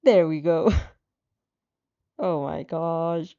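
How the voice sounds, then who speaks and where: Casting: female streamer, mellow and leisurely, stumbles and sighs through casual chat and story reading. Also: low-pitched, steady tone, clean tone, lo-fi sound. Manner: halting, stumbling over words, sighing and chuckling. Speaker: streamer reading fan fiction aloud. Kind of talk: casual livestream chat and expressive read-aloud with asides.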